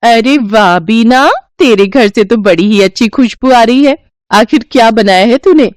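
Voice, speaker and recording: Woman doing a gushing friendly neighbour lady in a moral story, emphatic, pitch jumps up. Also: unhurried, no room sound, studio quality, lightly acted. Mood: delighted. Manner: effusive, emphatic. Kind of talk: animated moral-story dialogue.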